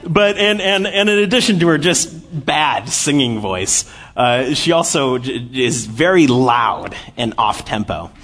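A man in his late twenties giving a church sermon, lively while telling a story and then quiet and earnest as he reads scripture. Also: medium pitch, unhurried. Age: 20s. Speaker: lay preacher.

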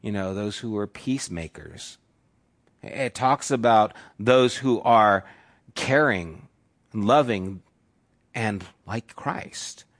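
A man's voice: on the low side, slow. Male pastor, young adult, easygoing sermon delivery, punchy repeated lines, chatty fillers. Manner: conversational preaching, emphatic repetition, fillers like "you know". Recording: live church service, audio only.

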